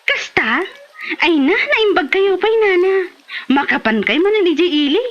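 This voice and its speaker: Chatty village mother played by a woman, Ilocano comedy drama: high-pitched, exclamatory, very animated. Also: moderate pace, lo-fi sound, exaggerated voice. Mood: friendly. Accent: Filipino, Ilocano.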